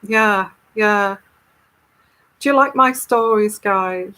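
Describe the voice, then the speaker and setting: A woman with a calming, soothing voice, hosting a live tarot stream and chatting casually as she reads viewer comments. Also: medium pitch, poor audio. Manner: unhurried, chatty and rambling.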